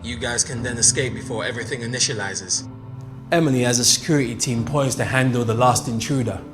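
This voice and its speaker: A man's voice: medium pitch, brisk, over music, close to an everyday voice. A man acting a pragmatic, flippant male tech operative in a naturalistic interactive film drama. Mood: matter-of-fact.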